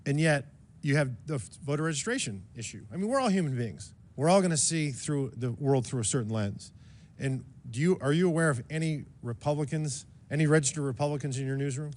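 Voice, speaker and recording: A man's voice: fairly high pitch, animated, darkish tone. A man questioning a witness at a congressional hearing, firing off statistics in a combative, accusatory way. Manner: combative, rapid-fire accusatory.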